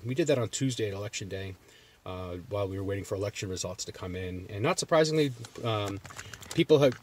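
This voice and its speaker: A man's voice: fairly low pitch, darkish tone, slight background noise. A man with a Boston accent, chatting loosely in a vlog from inside his parked car, rambling with lots of fillers and restarts. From Massachusetts. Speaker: jerky reviewer. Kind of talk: casual vlog chat.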